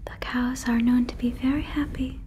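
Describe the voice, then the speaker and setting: A woman's voice: a little high, fairly even intonation, normal speed, dark tone, slight background noise, close to an everyday voice. A woman playing an earnest, doting alien in an ASMR roleplay, speaking close to the mic in soothing, stilted, repetitive phrases. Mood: curious, reassuring. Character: earnest, doting female alien convinced listener is a cow. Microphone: close fluffy microphone.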